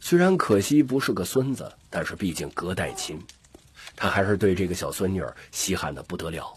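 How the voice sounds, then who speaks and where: A man with a gravelly, husky voice narrating an audiobook story expressively. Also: low voice, expressive, brisk, a little hiss or hum.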